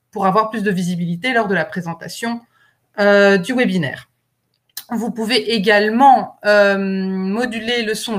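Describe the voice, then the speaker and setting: Woman host, mid-pitched, reading a tidy scripted webinar intro. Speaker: webinar host, association coordinator.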